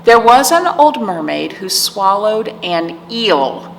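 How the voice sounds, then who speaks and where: Expressive woman reading storytime in an echoey room. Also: mid-range voice, slow pace. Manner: expressive, sing-song reading with questions to young listeners. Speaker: children's librarian, storytime host. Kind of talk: read-aloud storytime for young children. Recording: echoey reverberant room, clear background noise.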